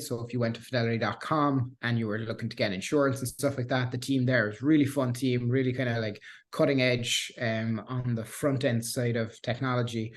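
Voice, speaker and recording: Irish guy from cork, thirties, loose chatty interview talk, lots of um and uh and restarts. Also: medium pitch. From Ireland. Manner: rambling, many restarts and fillers, self-deprecating laughs. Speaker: guest, software engineer. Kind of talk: casual interview chat.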